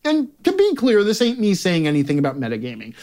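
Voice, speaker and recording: A man's voice: high-pitched, wildly swooping pitch, fast, a little mellow. A man reading his own opinion column aloud as a scripted comic rant, brash and sarcastic, with theatrical emphasis and plenty of swearing. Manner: brash, profane.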